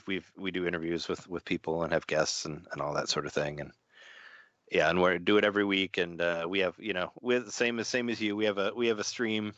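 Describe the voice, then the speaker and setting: A man's voice: low voice, fairly bright tone, dry recording, dull audio. A man chatting as a podcast guest, repeating words as he talks, his voice just getting over an illness. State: recovering from an illness, voice mostly back. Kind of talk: casual podcast chat.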